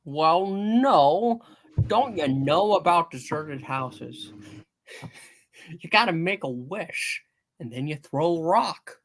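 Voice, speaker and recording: A man's voice: fairly high pitch, expressive, slow, heavily acted. A man playing an earnest, restless, dreamy young small-town man in a hammed-up radio play reading, eager and theatrical. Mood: giddy, boyish.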